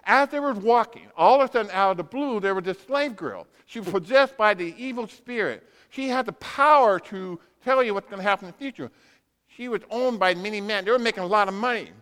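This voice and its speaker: A man's voice: sits high, a little fast, fairly bright tone. A man preaching a sermon as a dramatic storyteller, in short punchy phrases and acted-out dialogue, in a large reverberant room. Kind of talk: sermon with animated personal storytelling. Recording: reverberant hall.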